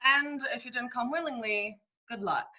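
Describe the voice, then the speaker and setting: A woman's voice: a little high, moderate pace, bright, forceful tone. A woman playing a cheeky, sardonic male stage actor who needles colleagues in a comic play reading. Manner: sarcastic, needling. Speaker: amateur actor in a remote group reading. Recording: dull, lo-fi internet call recording.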